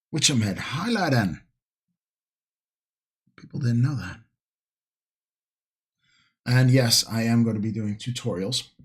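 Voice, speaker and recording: Male hobby streamer, slow and husky, casual rambling delivery to an online chat, musing as he works. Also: on the low side. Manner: unhurried, rambling, musing to himself. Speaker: livestream host, miniature painting hobbyist. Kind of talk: casual chat to livestream viewers while painting.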